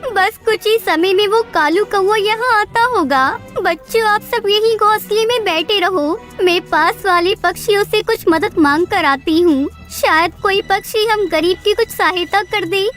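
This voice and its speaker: A woman voicing an anxious, earnest and gentle mother bird in a children's cartoon story, with a pleading manner. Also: very high voice, measured pace, few pauses, faint music behind. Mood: worried, pleading.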